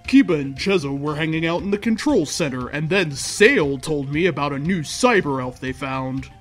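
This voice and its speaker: A man with a fairly high voice narrates a story in a theatrical, mock-serious style. He performs it as comic dramatic reading, with music playing underneath. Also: expressive, moderate pace. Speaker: host reading fan fiction aloud.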